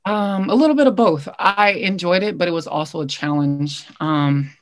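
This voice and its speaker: A woman's voice: lowish voice, moderate pace, dry recording. A young American woman speaking as an interview guest, reflecting earnestly on a coaching career in a conversational way. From Hawaii, United States.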